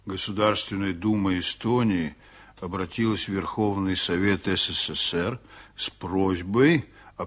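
An actor in a Soviet radio play as a steady, evasive Estonian sea captain, speaking deliberately and with authority. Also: male voice, on the low side. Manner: measured, deliberate, hesitating before answers. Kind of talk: Soviet radio drama acting.

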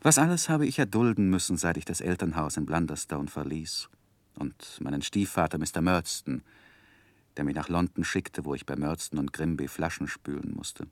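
An actor playing an earnest, reflective young man who narrates his own youth in a measured, literary 1960s German radio drama style. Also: extremely low pitch, animated, no room sound. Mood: wistful. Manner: measured, literary, deliberate phrasing. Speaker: first-person narrator.

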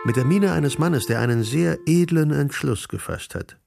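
Gravelly male radio drama narrator. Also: fairly high pitch, lively intonation, moderate pace, music in the background.

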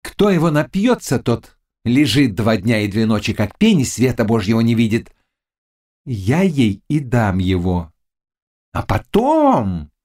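A man voicing a mocking, scheming Cossack witch woman in an audiobook, with loud, taunting exclamations. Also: medium pitch, very animated, a little slow, voice pushed up. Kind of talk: audiobook character dialogue.

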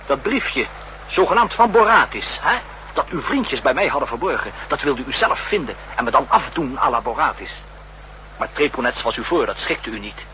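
A bitter, swooping vengeful spy. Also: male voice, medium pitch, lively intonation, normal speed, pushed and bright. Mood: bitter, accusing. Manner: mocking, accusatory. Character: bitter, vengeful armed spy confronting his betrayers. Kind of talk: 1960s radio drama acting. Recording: noisy old radio recording with hum.

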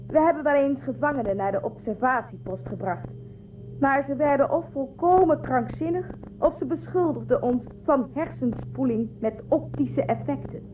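Through a narrow, noisy band comes a proud woman who commands a breakaway starship colony. Her voice is high and her delivery is measured and dismissive, in the manner of science-fiction radio drama. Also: expressive. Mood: firm, scornful. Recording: muffled narrow-band audio with noise.

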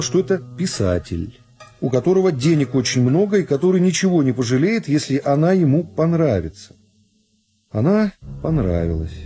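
A man reads literary narration for radio in a measured, deliberate storytelling manner. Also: fairly high pitch, dark tone. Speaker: narrator of a radio reading of a classic novel.

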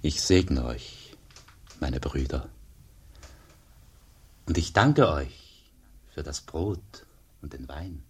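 Radio drama monk: humble and pious, low husky voice, grave and measured delivery. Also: male voice, animated, heavily acted. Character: humble, pious wandering monk, soft-spoken and weary. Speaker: actor, also narrating as the monk. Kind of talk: German radio drama acting.

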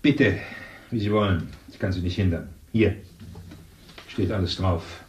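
A man playing a brisk, condescending casting-agency clerk in a 1970s radio play. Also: deep voice, darkish tone, far from the mic, lo-fi sound. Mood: brusque. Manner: curt, condescending, brushing off. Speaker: agency secretary. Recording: echoey room, off-mic.

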